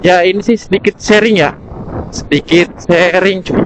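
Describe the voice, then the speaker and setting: A man's voice: high-pitched, steady tone, normal speed, background noise. A man chats in a casual, slangy, rambling way as a motorcycle vlogger, recorded outdoors through a helmet intercom mic while riding. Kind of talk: casual motovlog chat, product review. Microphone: intercom camera mic inside full-face helmet.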